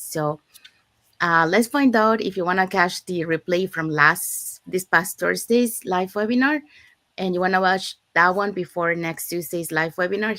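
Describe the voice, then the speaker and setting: A woman's voice: lowish voice, a bit bright, no room sound. A woman from Panama, co-hosting a live business chat and explaining sign-up steps in an upbeat, explanatory way. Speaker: co-host. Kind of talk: live-stream promo chat.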